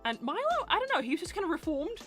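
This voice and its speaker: A woman's voice: high-pitched, very animated, racing, a bit bright, soft background music. A woman hosting a casual livestream Q&A, reading out and answering viewer messages in an informal, chatty way. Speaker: host of a fan series livestream Q&A.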